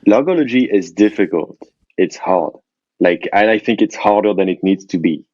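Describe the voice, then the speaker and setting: A man talking casually and candidly as a podcast guest on a phone call, in a halting way with repeated restarts. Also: low-pitched, darkish tone, no echo. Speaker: podcast guest, indie software founder. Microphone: remote phone call. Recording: dull, dry phone-call audio.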